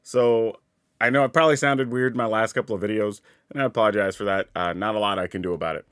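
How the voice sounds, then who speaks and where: A man's voice: on the low side, fairly even intonation, quick pace, a bit bright, clean tone, no echo, studio quality. A man, congested from a sinus cold, chatting easily and thinking aloud while streaming a card game. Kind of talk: casual live-stream chat while playing.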